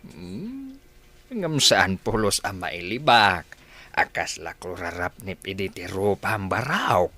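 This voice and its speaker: A man's voice: sits low, sing-song, slow pace, pushed and bright, full character voice. A man acting in an Ilocano radio drama as a puzzled, reflective old grandfather. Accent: Filipino, Ilocano. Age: older.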